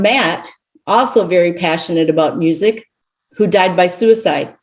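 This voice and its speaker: A middle-aged woman giving a reflective sermon to a congregation as a guest speaker, with measured, contemplative phrasing. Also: medium pitch, a little mellow. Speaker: guest speaker at a church service.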